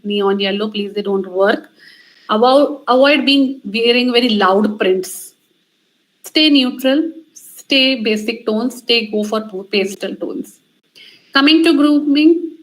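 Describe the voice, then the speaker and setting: A woman with an Indian accent speaks in a fairly high voice as a communication trainer. She instructs emphatically, laying out advice in short directive phrases and repeating key points. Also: a bit bright, low-quality recording. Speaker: communication trainer giving a lecture. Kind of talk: instructional talk on interview skills.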